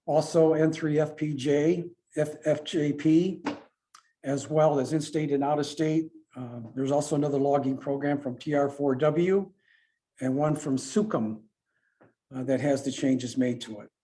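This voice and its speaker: A man presents club rules in an informal, explanatory way. His voice is mellow and a touch high for a man. He talks haltingly, often repeating and correcting himself. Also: fairly even intonation. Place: club meeting room with a few people present.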